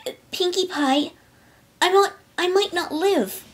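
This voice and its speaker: Tough young pegasus girl laid low, high and faltering, for a cartoon story; woman performing. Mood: fearful, hesitant. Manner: shaky, halting.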